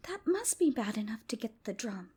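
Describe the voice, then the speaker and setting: A woman's voice: on the high side, big pitch swings, normal speed, mellow, breathy edge, pitched above the performer's own voice, exaggerated voice. A woman voicing naughty little sisters of five and seven, eager and pleading, in an ASMR folk tale. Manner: pleading, childish, earnest. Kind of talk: whispery ASMR storytelling.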